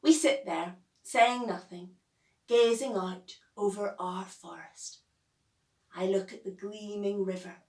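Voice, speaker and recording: A woman reading a children's story aloud as its author, with expressive, measured and deliberate phrasing. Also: medium pitch. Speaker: author reading own novel. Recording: echoey room, distant from mic.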